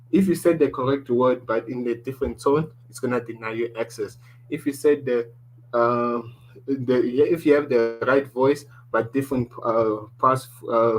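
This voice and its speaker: Man explaining tech with a deep voice. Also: low-quality recording. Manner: explaining slides, restarts often, ends points with "basically". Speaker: presenter. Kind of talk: online tech lesson.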